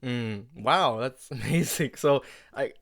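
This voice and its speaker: A man's voice: medium pitch, takes it slowly, creaky, a bit bright, no echo, clean studio sound. A man chatting casually as interviewer and creator of an audio drama, with informal, somewhat hesitant phrasing.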